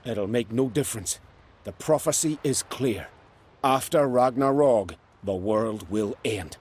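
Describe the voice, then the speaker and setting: An actor playing a devout pilgrim with a solemn, formal way of speaking in a fantasy video game. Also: male voice, mid-range voice, a little slow, darkish tone, slightly rough. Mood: solemn, foreboding. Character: devout, solemn male pilgrim foretelling doom. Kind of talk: video game dialogue acting.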